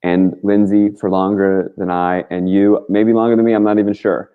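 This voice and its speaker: A man's voice: fairly low pitch, little pitch movement, normal speed, clear, resonant tone, phone-quality sound. A man talking as a guest on a talk show, rambling casually and earnestly through his stories in informal, slangy language. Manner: informal and slangy, with frequent "like" and mild swearing.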